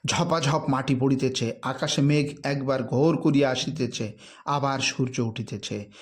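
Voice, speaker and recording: A man reads a literary story in a theatrical, measured, emphatic narration. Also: medium pitch, fairly even intonation. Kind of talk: dramatic literary audiobook-style narration.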